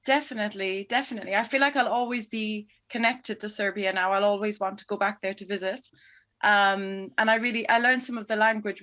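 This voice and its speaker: A woman film director talks through her answers in an interview. She is thoughtful and a little hesitant, pausing and restarting as she looks for the words, with a voice of ordinary pitch. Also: a bit bright. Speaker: documentary film director, guest.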